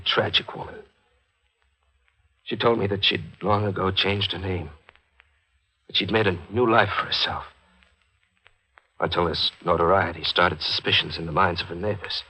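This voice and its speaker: An actor playing a guilt-ridden newspaper reporter in a 1940s radio mystery, confessing in a halting, anguished way. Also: male voice, low voice, fairly even intonation, pushed and bright, slightly rough, heavily acted, low-quality recording. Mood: haunted, dazed.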